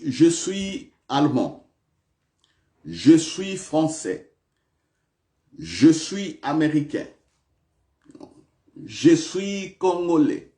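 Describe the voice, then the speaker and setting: A man's voice: a little high, warm tone. A male pastor preaching a sermon in a church, repeating questions to the congregation with big swings of emphasis.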